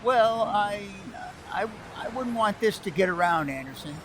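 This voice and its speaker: A man's voice: high-pitched, expressive, unhurried, a bit bright, a little hiss or hum. A man playing a genial, patronizing older businessman in a vintage-style radio comedy, stammering and hesitant in an avuncular way. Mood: sheepish.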